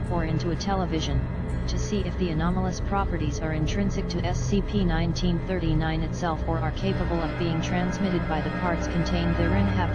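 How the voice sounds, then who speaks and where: A woman narrates in a fairly low voice over very prominent music. Her delivery is formal and clinical, like someone reading out an official report. The wording is scripted and precise. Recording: music behind the voice.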